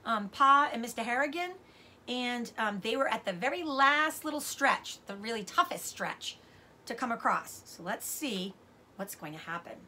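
A middle-aged woman reading a children's novel aloud as a teacher does, with expressive narration. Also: on the high side, normal speed, a little hiss or hum. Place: indoors, room with bookshelves.

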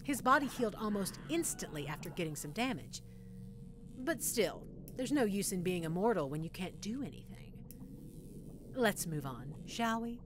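A woman's voice: mid-range voice, sing-song, normal speed, noisy background, natural voice. A woman playing a sadistic, gleeful mad scientist in an audio roleplay, teasing her captive listener with sweet condescension. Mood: smugly amused.

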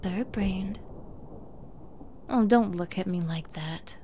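A woman's voice: mid-range voice, slow, dark tone, slight background noise. A woman playing a sweetly menacing, possessive young girlfriend in an intimate ASMR roleplay, coy and cooing, often dropping into whispers. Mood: sly, teasing. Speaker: roleplay audio performer.

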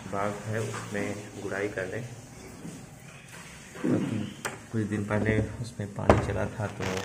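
A man's voice: fairly low pitch, creaky. A young man vlogging casually outdoors as he shows his village garden and explains the work. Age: young adult. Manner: relaxed, explains while showing his garden. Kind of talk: casual village vlog narration. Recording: noisy outdoor ambience.

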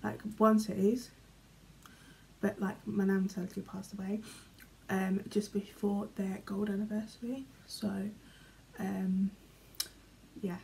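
Young British woman for a casual bedroom vlog, warm and mellow, rambling, trailing off softly, faint room hum. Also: mid-range voice, level tone, takes it slowly, creaky, poor audio. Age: young adult. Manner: chatty, filler-heavy, often trails off quietly. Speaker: vlogger. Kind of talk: casual chat, reading questions aloud. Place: small bedroom, indoors. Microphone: camera in front, medium framing.

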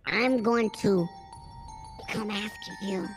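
A woman's voice: mid-range voice, slow, soft background music. A woman streamer roleplaying a brash, profane criminal, bickering and shrieking when angry. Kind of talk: in-character roleplay banter.

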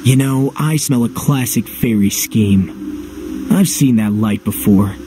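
A man playing a cynical, gruff young swordsman in a video game, speaking curtly and dryly. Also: mid-range voice, takes it slowly, natural voice. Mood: suspicious. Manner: curt, dry, suspicious. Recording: music behind.

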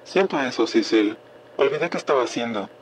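Voicemail role for a male actor: uneasy, earnest scientist, medium-pitched, Latin American accent, faint noise behind. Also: animated, a little fast, lightly acted, poor audio. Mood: earnest, distracted. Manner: earnest, preoccupied. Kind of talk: radio drama voicemail.

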